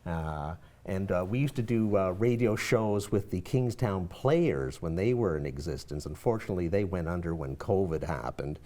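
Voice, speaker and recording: A man's voice: sits low, animated, moderate pace, hardly any pauses, warm tone. A man chatting casually and enthusiastically in an interview. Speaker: amateur radio-show performer and organizer.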